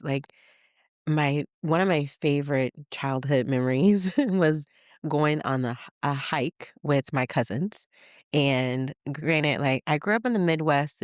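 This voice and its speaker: A woman from Michigan hosting a podcast, telling childhood stories in a chatty, animated way and laughing as she goes. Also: deep voice, clear, resonant tone, no echo, clean studio sound. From Michigan, USA. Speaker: podcast host. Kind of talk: casual personal storytelling.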